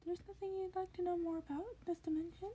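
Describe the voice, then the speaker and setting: Woman voicing a high-pitched, inquisitive little animal principal. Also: steady tone, fairly quick, dark tone. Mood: prodding curiosity. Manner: polite, formal, inquisitive. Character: small furry animal school principal, giddily curious, confident, probing.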